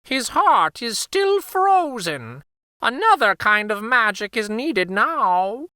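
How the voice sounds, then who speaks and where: A man's voice: extremely high pitch, sing-song, slow pace, bright, forceful tone, clear, resonant tone, no echo, studio quality, voice pushed up, full character voice. A man voicing a grand, motherly female firebird from a fairytale, magical and kindly imperious, with a lofty delivery. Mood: solemn.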